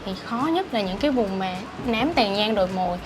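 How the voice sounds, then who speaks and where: A woman makeup teacher explains her technique at a rapid clip, in a chatty, hands-on way. The recording carries clear background noise from the studio she works in. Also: mid-range voice, expressive. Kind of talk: casual teaching talk. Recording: noisy room background.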